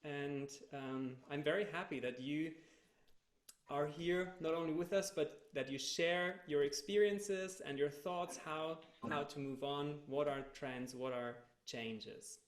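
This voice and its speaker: A man with a German accent hosts a panel over a video call. His voice sits a little high for a man and rings in an echoey room. He phrases things courteously, if haltingly. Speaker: youth researcher hosting an online panel. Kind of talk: moderating a group video talk.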